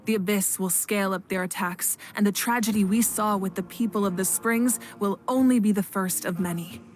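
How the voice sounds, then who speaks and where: A woman voicing a calm, authoritative female ruler of fire in a game, patient and measured. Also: medium pitch, faint music behind, lightly acted. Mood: composed. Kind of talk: game voice acting.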